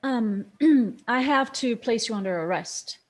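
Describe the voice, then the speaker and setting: Woman acting wary, higher-voiced female detective. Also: lively intonation, measured pace. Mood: hesitant. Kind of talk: dramatic screenplay table read.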